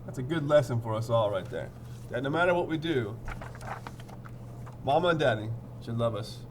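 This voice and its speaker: A man's voice: medium pitch, expressive, moderate pace, a little mellow, a little hiss or hum. A man teaching through a storybook read-aloud, playful and theatrical, repeating phrases and chatting to his pupils.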